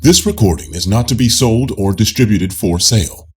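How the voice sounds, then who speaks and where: A man narrates nonfiction in a low, husky voice. His reading is formal and measured, as in an audiobook, yet the pitch moves in a lively way across each sentence.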